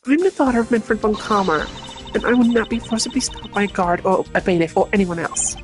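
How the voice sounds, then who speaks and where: Hot-tempered game prosecutor girl, acted by a streamer: high, sharp, imperious delivery over music. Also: fairly quick, lightly acted. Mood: indignant, haughty.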